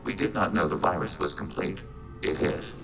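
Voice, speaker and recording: An extremely low, gritty robot voice, synthetically processed, speaks in formal, clipped statements. It is an impassive, logical machine soldier from a video game, coolly reporting on behalf of a collective mind. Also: male voice, fairly even intonation, moderate pace, creaky, fairly bright tone, faint music behind, exaggerated voice. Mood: impassive, analytical. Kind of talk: video game character acting.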